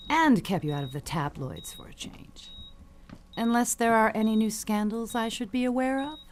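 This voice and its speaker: A woman playing an elderly, dying perfume matriarch in an audio suspense drama, genteel, measured and sly, with cutting endearments. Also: on the high side, animated, a little hiss or hum, natural voice. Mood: coolly needling.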